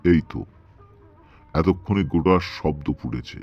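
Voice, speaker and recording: A very low-pitched male ghost performed by a man from a horror audio story. He speaks with mocking, menacing politeness and a sardonic drawl. The sound is slightly dull, with music underneath. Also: a little slow, breathy edge, exaggerated voice. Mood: stern. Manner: mocking, drawling politeness with sudden stern turns.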